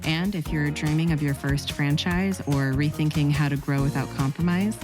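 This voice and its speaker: A woman's voice: low voice, steady tone, normal speed, music in the background. A woman hosting a podcast, reading a wry, conversational intro and then interviewing guests. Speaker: podcast host.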